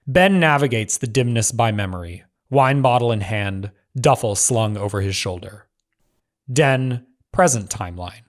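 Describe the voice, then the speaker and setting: A man narrating screenplay stage directions in measured, clipped phrases. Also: lowish voice.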